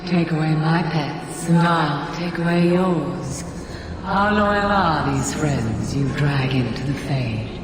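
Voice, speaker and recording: An actress playing a seductive, vengeful demon tempter in a fantasy game, taunting and sultry. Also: female voice, deep voice, takes it slowly. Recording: distant, heavy echoing reverb, noisy ambience.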